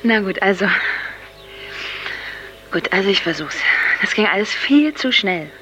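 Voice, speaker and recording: An actress playing an awestruck young woman in a radio drama, searching for words in halting, broken-off sentences on a lo-fi recording. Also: mid-range voice, animated, a bit bright. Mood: groping, awed. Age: young adult. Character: awestruck young woman groping for words after an LSD trip. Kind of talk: naturalistic radio drama dialogue. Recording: dull lo-fi tape, music underneath.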